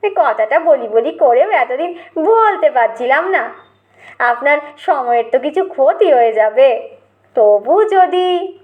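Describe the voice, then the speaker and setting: A woman's voice: sits high, animated, takes it slowly, phone-quality sound. A woman voicing a humble young schoolboy fan in a comic audio story, obsequious, pleading and gushing. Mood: sheepish pleading.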